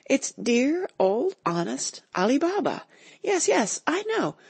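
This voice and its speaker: A woman voicing an old male miser in a storybook reading, excited and caught between laughing and crying. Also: mid-range voice, a little slow, gravelly, husky, dry recording, heavily acted. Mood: ecstatic. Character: old male miser, overcome with nostalgic joy.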